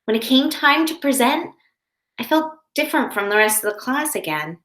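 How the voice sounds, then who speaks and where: Higher-voiced woman teaching children, roomy echo. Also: animated, moderate pace, a bit bright. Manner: simple, careful explaining to young children. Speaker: teacher reading a picture book to children. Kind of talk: children's read-aloud with explanatory asides. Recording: roomy, echoing indoor sound.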